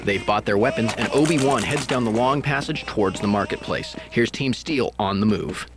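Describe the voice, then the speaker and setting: Excited man calling game action. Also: moderate pace. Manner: rises sharply in pitch at kills. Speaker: play-by-play commentator. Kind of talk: esports play-by-play commentary. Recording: TV broadcast with game gunfire noise.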